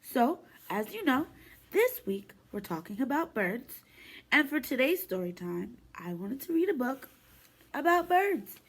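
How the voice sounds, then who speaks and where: A woman preschool teacher reading a picture book aloud to young children in an expressive, sing-song way. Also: high voice, a little slow, rough recording. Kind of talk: children's story time read-aloud.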